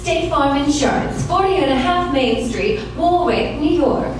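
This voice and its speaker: Echoey room with music: actress as high, lively, neighborly announcer in a radio commercial. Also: female voice, a little slow, recorded from a distance, heavy background noise. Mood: playful. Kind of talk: live radio theater commercial.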